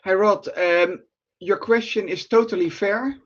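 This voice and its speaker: Dutch man, remote presenter, higher voice, halting delivery, dull lo-fi line. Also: steady tone. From Netherlands. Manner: hesitant, many fillers. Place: remote at home at night.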